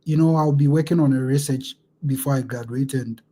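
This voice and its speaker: A man's voice: mid-range voice, a little fast, mellow, slight background noise. A man from Ghana with a Ghanaian accent, hosting a casual interview, reflective and affirming, often repeating words as he thinks. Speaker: interview host.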